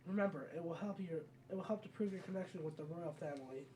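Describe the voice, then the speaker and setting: A gaming video maker reading out a solemn female royal guardian from a fantasy game in a measured way. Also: medium pitch, darkish tone, recorded from a distance, a little hiss or hum, close to an everyday voice. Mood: solemn, instructive.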